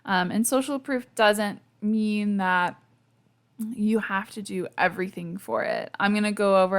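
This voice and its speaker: Gravelly-voiced woman losing her voice. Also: mid-range voice. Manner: chatty, self-deprecating, laughs often, lots of fillers. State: losing her voice, sleepy and hungover. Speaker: podcast host. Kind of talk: casual solo podcast chat. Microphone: podcast microphone.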